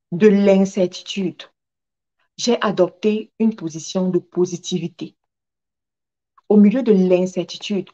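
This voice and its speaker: A woman's voice: fairly low pitch, dark tone, muffled recording. A woman giving a motivational talk in an emphatic manner, repeating phrases for effect and drawing on personal stories. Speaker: motivational speaker and businesswoman.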